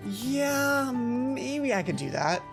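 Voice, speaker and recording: A woman plays an excitable, girly teenage roommate in a visual novel. She teases and gushes in a high, swooping voice, stretching words out with bubbly enthusiasm. Music plays behind her. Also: slow pace, gravelly, full character voice. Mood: coy.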